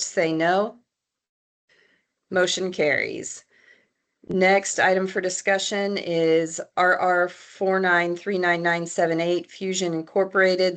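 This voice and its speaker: A woman speaks as the chair of a commission meeting. Her voice is on the low side and stays quite level. She keeps to formal, procedural phrasing. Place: public commission meeting room.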